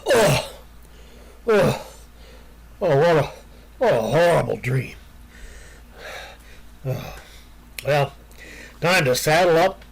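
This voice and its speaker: An actor playing a weary older Old West sheriff in a western radio play, with an American accent and folksy drawl. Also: male voice, on the high side, animated, slow, creaky, pauses often, slight background noise, full character voice. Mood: shaken. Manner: folksy, drawling cowboy speech.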